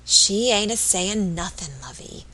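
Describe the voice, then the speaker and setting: A woman voicing a doting old country mother in an audiobook, hushed and coaxing, with a rustic English accent. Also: mid-range voice, animated, a little slow, low-quality recording. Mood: soothing. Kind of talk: audiobook character voice.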